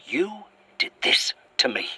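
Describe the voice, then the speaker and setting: A man's voice: medium pitch, very animated, slow pace. A man playing a bitter, paranoid monster keeper in a horror audio drama, tense and accusatory, on a noisy outdoor recording. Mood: bitter, hushed. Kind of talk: found-footage horror audio drama acting.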